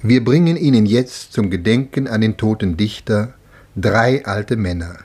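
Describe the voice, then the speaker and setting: Slow, husky radio announcer. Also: male voice, on the low side, a little mellow. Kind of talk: formal broadcast announcement. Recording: archive radio broadcast.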